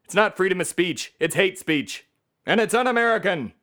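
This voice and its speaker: A man's voice: high voice, moderate pace, fairly bright tone, clear, resonant tone. A man playing America itself as a bombastic, self-important patriotic orator on a satirical comedy podcast. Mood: impassioned speechifying. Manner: pompous, declamatory oratory.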